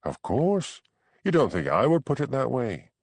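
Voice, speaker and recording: A man's voice: medium pitch, wildly swooping pitch, moderate pace, vocal fry, dry recording, voice pushed up, full character voice. A man voicing a brash, teasing Scottish detective in an audiobook crime story. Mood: wry, exasperated. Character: foxy, brash Scottish detective cadging whisky.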